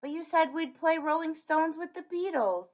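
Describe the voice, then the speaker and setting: A woman's voice: very high voice, moderate pace, a little mellow, pitched above the performer's own voice. A woman voicing an indignant, protesting little girl from a storybook, on a dull recording. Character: indignant little sister of the heroine.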